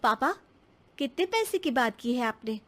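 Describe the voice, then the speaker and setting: A woman's voice: a little high, lively intonation, normal speed, a bit bright. A woman voicing an outspoken young bride in a cartoon story, cheeky and direct in manner. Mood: questioning, firm. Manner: pert, direct.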